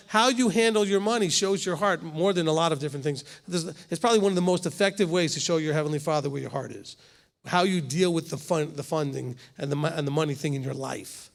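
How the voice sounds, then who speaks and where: Fifty-something rabbi teaching in a hall. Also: male voice, high voice, gravelly. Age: 50s. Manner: conversational, keeps asking "okay?". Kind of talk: Torah study teaching. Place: congregation hall.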